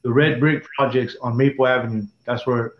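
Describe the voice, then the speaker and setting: A man, telling his life story in an interview with halting restarts. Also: medium pitch, fairly even intonation. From Dallas, Texas. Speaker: interviewee, former prison gang member. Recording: echoey room, dull lo-fi sound.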